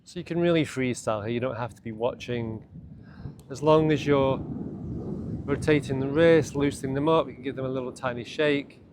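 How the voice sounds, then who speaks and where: A man leads a guided morning meditation and self-massage in a gentle, unhurried, soothing way, speaking in short phrases. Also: medium pitch. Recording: clear steady background ambient noise.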